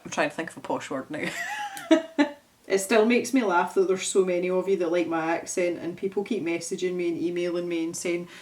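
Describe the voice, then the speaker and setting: A woman's voice: fairly low pitch, a little fast, a little mellow. Chatty Scottish woman painting and talking. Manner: chatty, self-mocking, thinking aloud. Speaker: art channel host. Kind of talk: casual chat while painting.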